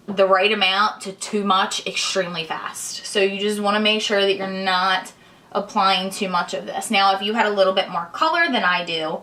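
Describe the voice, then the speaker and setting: A young woman, hoarse and congested, chatting casually while she explains each step of her makeup tutorial. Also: medium pitch, bright, forceful tone. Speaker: beauty vlogger. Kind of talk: chatty get-ready-with-me tutorial.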